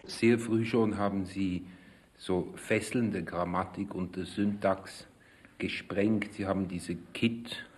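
A man interviewing a guest with prepared questions in formal, measured phrasing. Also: low voice, fairly even intonation.

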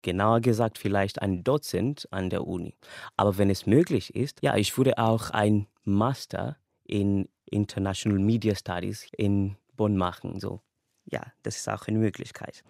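Slow-spoken young man. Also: fairly low pitch, steady tone, gravelly, darkish tone, no room sound, clean studio sound. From Kenya. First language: English and Swahili. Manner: unhurried, earnest, laughs lightly between thoughts. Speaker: language student. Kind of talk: casual personal account.

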